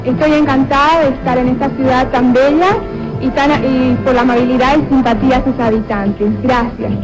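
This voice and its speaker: A woman's voice: high-pitched, fairly even intonation, quick pace, low-quality recording. A woman from Paraguay with a Paraguayan accent, giving a formal stage greeting as a pageant contestant. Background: music.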